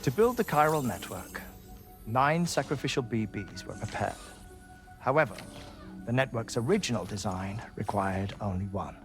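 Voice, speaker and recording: An actor playing a genial, scholarly investigator in a video game, speaking in a formal, courteous, wordy way. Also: male voice, lowish voice, expressive, moderate pace. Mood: explanatory, measured. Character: genial, scholarly male investigator with old bones. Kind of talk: video game cutscene acting. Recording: game dialogue over clear music.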